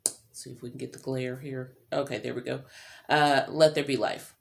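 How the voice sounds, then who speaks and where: A woman's voice: a bass voice, flat delivery, moderate pace, creaky, slight background noise. A middle-aged woman vlogger talking in a casual, chatty monologue with informal, rambling asides. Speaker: vlogger and self-employed writer.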